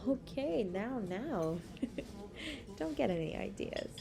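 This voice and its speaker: Slow-talking, coy young woman on a date, acted. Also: a little high, sing-song, gravelly, a crowd in the background, heavily acted. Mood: shy, playful. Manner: teasing, coy, giggly, hesitant pauses. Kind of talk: romantic roleplay audio acting.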